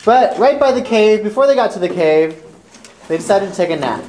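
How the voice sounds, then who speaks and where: A woman's voice: medium pitch, expressive, a little fast, warm tone, a little hiss or hum. A woman narrating an improvised fairy tale for a children's puppet show, in simple, repetitive phrases.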